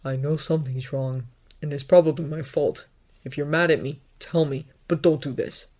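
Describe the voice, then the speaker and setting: A woman's voice: low voice, steady tone, moderate pace, a little mellow, voice pushed down. A woman voicing a distraught, determined young man in a story, speaking in an earnest, pleading, emphatic way. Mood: determined concern. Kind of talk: dramatic audiobook character acting.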